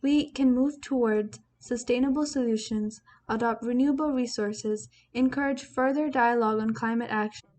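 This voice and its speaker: Young female student from the UAE, fairly high voice, careful scripted delivery with list-like phrasing. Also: steady tone, moderate pace, hardly any pauses, a little mellow. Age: young adult. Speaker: student speaker. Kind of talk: scripted video statement.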